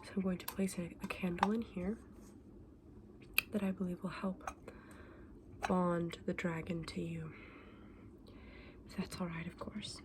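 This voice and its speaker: Casting: actress as kindly, quirky female dragon-egg seller for ASMR roleplay; hushed breathy whisper, slightly low, polite old-fashioned wording, noticeable background noise. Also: level tone, unhurried, pauses often, natural voice. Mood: delighted, attentive. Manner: gentle, polite, quaint old-fashioned phrasing. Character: kindly, eccentric fantasy shopkeeper woman selling dragon eggs. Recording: clearly audible background noise.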